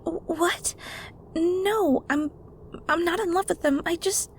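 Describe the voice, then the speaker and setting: A woman's voice: extremely high pitch, slow, a little hiss or hum, voice pushed up, heavily acted. A woman voicing a timid young daughter in an audio drama, hesitant, stammering and submissive. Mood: flustered, defensive. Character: timid, lovesick young daughter cowed by her mother. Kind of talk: scripted audio roleplay drama.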